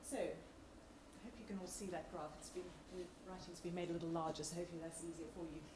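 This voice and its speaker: A woman with a lowish, slightly croaky voice presents as a doctor at a public meeting. Her delivery is informal and a little hesitant, in an echoing room with faint background noise. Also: steady tone, quick pace. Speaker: doctor, clinical respiratory lead.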